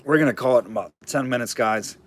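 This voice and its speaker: A man running a live online auction, calling bids and chatting loosely about the items, his throat getting hoarse. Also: mid-range voice, quick pace. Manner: chatty, rambling asides between bid calls.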